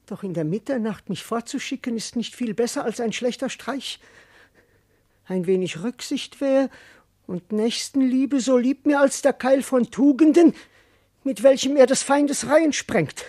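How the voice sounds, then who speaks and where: An actor playing a boastful but cowardly servant in a classical verse radio comedy, declaiming self-importantly and muttering to himself. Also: male voice, extremely high pitch, a little slow, a little mellow. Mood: indignant bluster. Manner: theatrical, declamatory, self-important, shifting between muttering and proclaiming.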